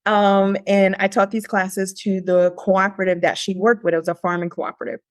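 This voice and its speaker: A woman guest on a podcast, telling a personal story in a lively, conversational way, often pausing on "like". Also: medium pitch, no room sound, studio quality. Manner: animated, lively quoting of her younger self; frequent "like" fillers and restarts.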